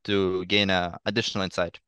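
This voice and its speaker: A man's voice: deep voice, level tone, fairly quick, bright, forceful tone, clear, resonant tone, no echo. A young man from Eastern Ukraine whose first language is Russian, speaking English with an accent as an interview guest, hesitant and thoughtful, often repeating words. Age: young adult. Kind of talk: interview conversation.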